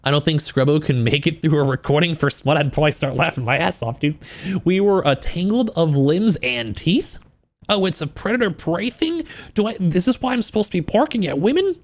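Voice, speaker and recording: A man with a slightly high voice reads and talks to a livestream chat. He is informal and self-deprecating, with joking, crude asides. His reading is more measured, while his commentary is looser and quicker. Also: lively intonation. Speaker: streamer reading a novel aloud and reacting to it for chat. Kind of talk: casual livestream book reaction, mixing reading aloud with commentary.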